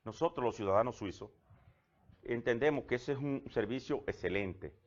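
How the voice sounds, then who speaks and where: A man's voice: medium pitch, normal speed, lo-fi sound. A Dominican man with a Dominican Spanish accent, a guest on a TV interview who tells personal anecdotes with frequent restarts. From Dominican Republic. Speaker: guest, government labor official. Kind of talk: conversational TV interview.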